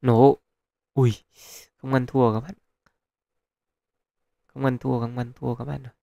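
Male gaming host, gravelly mid voice, chatty advice with fillers, dry close mic. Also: mid-range voice, level tone, takes it slowly, warm tone, no room sound, lo-fi sound. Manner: chatty, advising viewers, many fillers. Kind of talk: casual gameplay commentary. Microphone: close mic, voice-over on screen capture.

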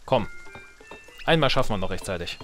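A man's voice: lowish voice, animated, a little fast, fairly bright tone. A man giving casual let's play commentary, muttering and thinking aloud to himself. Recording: game music behind the voice. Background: video game music.

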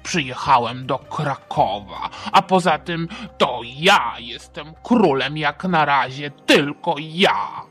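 Pompous storybook king, played by a woman, vain and jealous, low slow delivery, swooping intonation. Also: expressive, a bit bright, soft background music, exaggerated voice. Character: vain, jealous grown king, grudging and self-important. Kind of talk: acted children's history storytelling.

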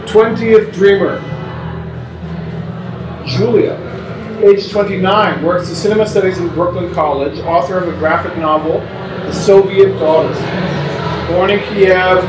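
A man in his forties reads interview answers aloud in a measured, unhurried way, stopping to restart phrases, in a small indoor gallery room. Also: sits high, noisy background. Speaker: performer reading interview notes. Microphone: far camera mic. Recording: roomy distant sound with faint ambience.

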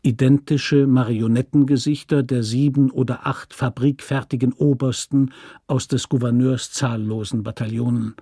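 A man narrating a literary story from a script in a measured, sober manner. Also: mid-range voice, fairly even intonation, mellow, breathy edge, no echo, studio quality.

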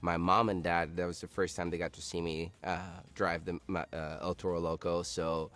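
A man in his thirties from Costa Rica, a monster truck driver, talking enthusiastically with small pauses in an interview, in a dark studio. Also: low-pitched, flat delivery. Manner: enthusiastic, halting. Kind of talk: interview answer.

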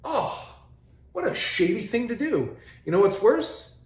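A man in his forties hosting a faith video, giving sarcastic, mock-incredulous commentary straight to camera in a bright living room. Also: high-pitched, sing-song, a little slow. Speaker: host of a faith video series. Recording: roomy echo in a home.

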